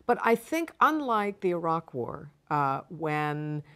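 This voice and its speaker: A woman answering interview questions as an expert, measured and explanatory, with emphatic repetition. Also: sits low, sing-song, fairly bright tone, clean tone. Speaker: guest expert on refugees.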